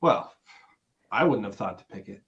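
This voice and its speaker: A man's voice: on the low side, animated, normal speed, fairly bright tone. A man acting an earnest, awkward, conventional boyfriend with a hesitant delivery, in a dramatic script reading. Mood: awkwardly upbeat. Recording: dull, lo-fi sound.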